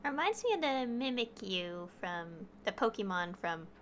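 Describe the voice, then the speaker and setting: A woman's voice: mid-range voice, very animated, measured pace, slight background noise. A woman streaming a video game, casually reading lines aloud and reacting over game music.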